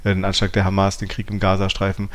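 A man's voice: deep voice, monotone, quick pace. A man co-hosting a philosophy podcast in a conversational, explanatory and reflective way. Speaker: podcast co-host.

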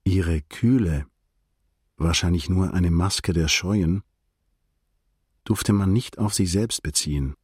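Detached prosecutor narrator, deep voice, husky, measured literary storytelling, radio drama. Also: male voice, darkish tone, dry recording, clean studio sound, heavily acted. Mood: measured, reflective. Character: reflective male state prosecutor recounting a visit to friends.

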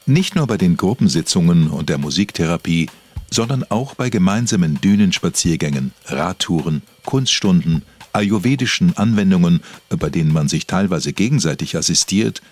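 Deep, husky male documentary narrator. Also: animated, normal speed, a little mellow. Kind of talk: scripted narration, mock-serious radio documentary.

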